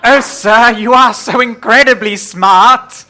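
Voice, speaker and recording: A woman's voice: fairly high pitch, takes it slowly, slight background noise. A woman playing a devoted, anxious villain's assistant in an improvised comic radio play, gushing and deferential. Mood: fawning. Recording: loud music over the voice.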